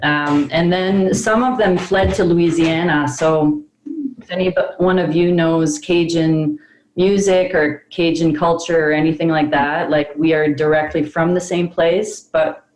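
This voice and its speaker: Low-voiced woman explaining on a call. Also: fairly even intonation, a little slow, a little mellow, reverberant, low-quality recording. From Prince Edward Island, Canada. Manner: chatty, explanatory, laughs often. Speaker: band member, interviewee. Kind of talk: casual interview over video call.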